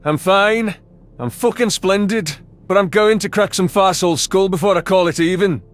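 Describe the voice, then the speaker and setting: A man acting an angry furry alien with a grudge. The voice is high for a male, bitter and sarcastic, over faint music in an audio drama. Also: normal speed, close to an everyday voice. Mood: sarcastic menace. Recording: music under the voice.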